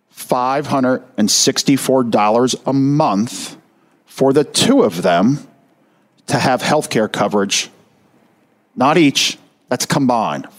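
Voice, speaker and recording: A middle-aged man talks listeners through retirement money matters in an energetic, emphatic way. He slows right down to stress each key figure. His voice sits at an ordinary middle pitch for a man. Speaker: retirement financial advisor. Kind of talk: explanatory talk to listeners.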